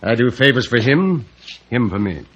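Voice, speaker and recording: An actor playing a smug, corrupt fixer with a husky voice and insinuating courtesy in a 1950s radio drama. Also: male voice, medium pitch, big pitch swings, moderate pace, a bit bright, slight background noise. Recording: dull vintage radio recording.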